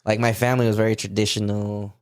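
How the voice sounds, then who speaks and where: Low-voiced man in easygoing chat. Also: fast, clear, resonant tone, dry recording, studio quality. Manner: relaxed, laughs often, trails off with fillers. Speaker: podcast co-host. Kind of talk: casual chat.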